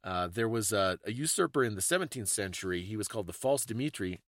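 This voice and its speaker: A man's voice: lowish voice, animated, brisk, a bit bright, dry recording, clean studio sound. A man hosting a conversational talk, explaining things in an informative, scholarly way. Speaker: podcast host explaining folklore.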